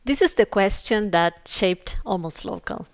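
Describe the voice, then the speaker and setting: Woman, podcast host, scripted reflective narration, slow pace, medium pitch. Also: lively intonation.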